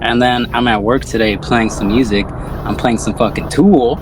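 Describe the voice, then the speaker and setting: A man's voice: medium pitch, fairly even intonation, moderate pace, music in the background. A man hosting a podcast in a casual, slangy film discussion, swearing freely. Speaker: podcast host.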